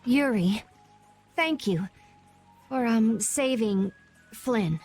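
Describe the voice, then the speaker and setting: A woman's voice: a little high, animated, very slow, darkish tone, soft background music, exaggerated voice. A woman playing an earnest, stiff young female knight with a formal, hesitant manner in an anime game dub. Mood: guilty, hesitant.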